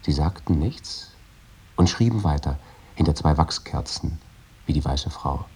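A tender, devout man murmurs drowsily in a literary radio play. His voice is very deep and mellow, with a husky grain, and his manner is hushed and intimate. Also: expressive, a little slow, heavily acted. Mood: drowsy, dreamy. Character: tender, devout man reading a letter to his beloved "little mother".

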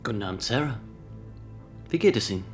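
A man playing a stiff, low-voiced government inspector. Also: animated, fairly quick, over loud music, natural voice. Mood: cool, polite. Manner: formal, clipped, officious. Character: stiff, formal government fox inspector, rigid and dutiful. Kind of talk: German radio drama acting. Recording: noticeable ambient background noise.

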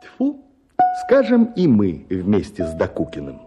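A man narrating a literary story with an ironic, expressive manner. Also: fairly low pitch, a little slow, over music.

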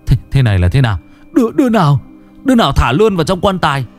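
A man voicing a desperate, grieving village father who stammers and pleads in a deep voice. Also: expressive, moderate pace, mellow, soft background music, lightly acted. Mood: panicked.

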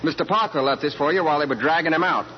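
An actor playing a deferential, gossipy attendant in a 1940s radio comedy. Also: male voice, on the high side, racing, close to an everyday voice. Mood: matter-of-fact. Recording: muffled, hissy old radio broadcast.

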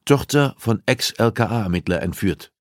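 Guy doing a cold bossy profiler for an audiobook, deliberate and insistent, raised pitch, close dry sound. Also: lively intonation, dry recording. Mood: commanding, urgent. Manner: commanding, deliberate, argumentative. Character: cold, insistent male criminal profiler and police investigator. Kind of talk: audiobook dialogue acting.

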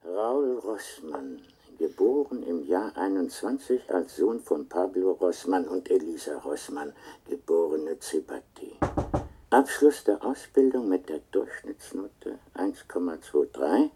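An actor playing an impersonal official who reads a personnel record in a formal, clipped and detached way in a radio drama. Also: male voice, deep voice, animated, measured pace, dark tone, breathy edge, heavily acted. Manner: clipped, detached, matter-of-fact.

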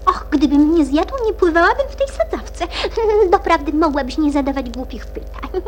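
A touchy little female creature from a children's radio play, snappish and indignant. Her voice is high and swoops with animated annoyance, over faint music. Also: normal speed. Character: huffy, easily offended little female animal.

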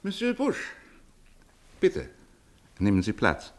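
An actor playing a polite, hesitant clinic chief in a radio play. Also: male voice, lowish voice, huge pitch swings, slow pace, full character voice. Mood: courteous. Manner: courteous, hesitant. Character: older male clinic chief, polite, evasive. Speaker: professor, head of a clinic.

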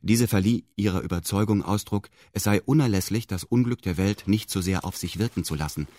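A man's voice: fairly low pitch, a little fast, warm tone, no echo, clean studio sound, close to an everyday voice. A male actor playing a detached first-person radio drama narrator with precise, stilted, bureaucratic phrasing. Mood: detached, formal.

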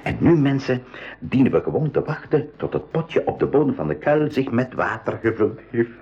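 An actor playing a practical, encouraging professor uncle in a 1980s school radio play, explaining emphatically with a Belgian Dutch accent. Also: male voice, mid-range voice, big pitch swings, moderate pace, gravelly, dark tone, husky, full character voice. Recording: muffled 1980s radio recording.

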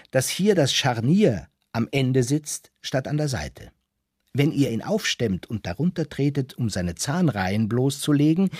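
A man with a husky voice narrates a literary radio play, theatrically lecturing and addressing the listener directly. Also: medium pitch, darkish tone, no room sound, clean studio sound.

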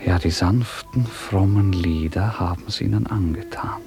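Male reader for classic radio drama: very unhurried, poetic and solemn, lowish pitch. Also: vocal fry, music in the background. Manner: solemn, poetic, measured delivery. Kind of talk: literary radio narration with recited verse.

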